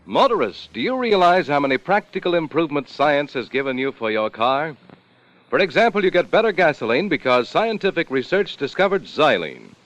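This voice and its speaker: Animated, higher-pitched American radio pitchman. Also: fairly quick, lo-fi sound. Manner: emphatic, salesmanlike. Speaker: radio commercial announcer. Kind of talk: 1950s radio advertisement read.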